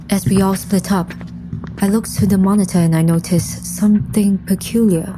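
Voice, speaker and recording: A woman's voice: on the low side, natural voice, poor audio. A woman playing a composed, serious undead mission leader who narrates her own story in an audio drama. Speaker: lead character and first-person narrator. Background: music.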